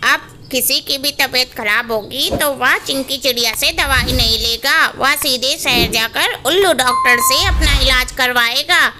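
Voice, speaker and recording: A woman's voice: high voice, a little slow, hardly any pauses, background noise. Woman voicing a plain-spoken forest bird. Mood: agreeing. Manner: agreeable, reassuring.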